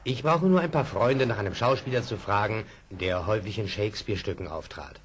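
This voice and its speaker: A man's voice: fairly low pitch, fairly quick, slightly rough. An actor playing a kindly middle-aged theatre showman in a German children's radio play, assured and avuncular. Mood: confident, generous.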